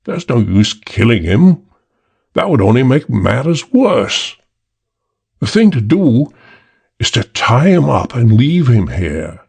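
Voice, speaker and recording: A man's voice: mid-range voice, sing-song, takes it slowly, gravelly, a little mellow, breathy edge, exaggerated voice. A man voicing a cold, superior male crook who drawls in a British accent. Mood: cold, drawling.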